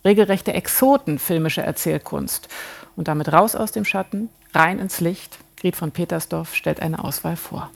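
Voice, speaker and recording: A woman's voice: lowish voice, moderate pace, gravelly. A woman presenting the news, leading into a report. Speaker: news anchor.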